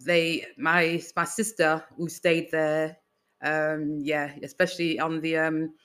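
An older woman from England with a Manchester accent, a guest in a casual interview, telling her story with many "you know"s and pauses. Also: sits low, level tone. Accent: Mancunian English. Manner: reflective, warm storytelling with frequent "you know" and "um".

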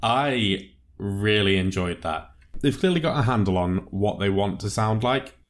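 A man co-hosting a podcast, giving relaxed, thoughtful opinions on a new rock track. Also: on the low side, measured pace. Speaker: podcast co-host. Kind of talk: casual music review chat.

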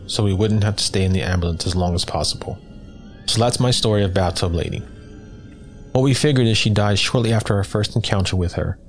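A man reading a first-person horror story as scripted narration for listeners. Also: low-pitched. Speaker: guest story reader.

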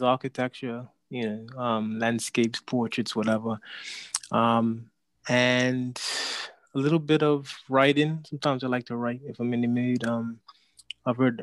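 Slow, deliberate man in his thirties. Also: medium pitch, no room sound. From Bermuda. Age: 30s. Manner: slow, deliberate, laughs easily. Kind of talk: casual podcast chat.

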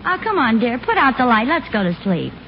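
Lilting, high-voiced radio sitcom wife. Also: female voice, very animated, brisk. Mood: placating. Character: placating, gently evasive suburban wife and mother. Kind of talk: 1940s radio sitcom acting. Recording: muffled old radio broadcast, music behind.

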